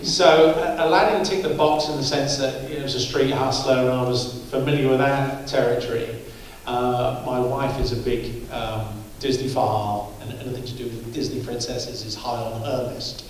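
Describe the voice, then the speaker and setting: A man's voice: medium pitch, fairly even intonation, normal speed, hardly any pauses, far from the mic, slight background noise. A man who directs films, answering a press question in a wry, loose way with easy laughs. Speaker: film director. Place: press conference room. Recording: echoey room, mic from afar.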